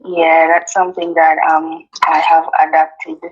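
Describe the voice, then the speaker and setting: Relaxed low-voiced Jamaican woman. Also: unhurried, lo-fi sound. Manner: relaxed, many ums and "you know"s. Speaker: guest. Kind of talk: casual interview chat.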